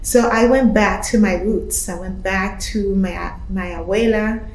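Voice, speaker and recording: A woman's voice: medium pitch, steady tone, measured pace. Earnest Puerto Rican-born woman, roomy sound. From Puerto Rico. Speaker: choreographer. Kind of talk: casual interview talk. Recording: roomy sound with faint ambience.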